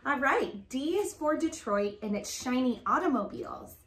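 A woman in her thirties hosting a children's story time, reading aloud and asking questions in animated, child-directed talk. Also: high-pitched, normal speed. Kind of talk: picture-book read-aloud and chat for children. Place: living room, indoors. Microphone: camera a few feet away. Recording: echoey room.

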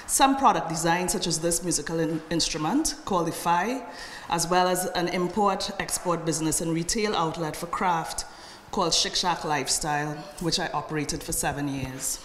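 A middle-aged Trinidadian woman gives a conference talk in a measured, personal way, checking in with her listeners as she goes. Her voice sits a little low. She is picked up from afar in a roomy lecture hall with long reverb and faint background noise. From Trinidad and Tobago. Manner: measured, personal, tags lines with "right?". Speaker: conference speaker. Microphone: podium microphone from a far camera. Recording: echoey hall recorded from afar.

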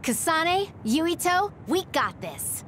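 High, girlish anime teammate. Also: very animated, takes it slowly, fairly bright tone, slight background noise, exaggerated voice. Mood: encouraging. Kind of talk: English anime game dub acting.

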